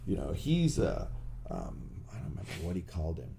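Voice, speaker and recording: A man co-hosting a podcast, telling a story in a blunt, animated way with crude jokes. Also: sits low, fairly quick, gravelly, a little hiss or hum. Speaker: podcast co-host. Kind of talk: casual chat.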